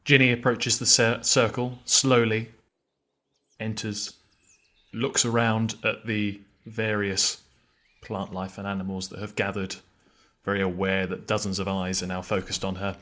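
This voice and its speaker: A man's voice: on the low side, little pitch movement, measured pace, pushed and bright. A man playing a tabletop roleplaying game, describing his character's actions in dramatic third person. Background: faint background music.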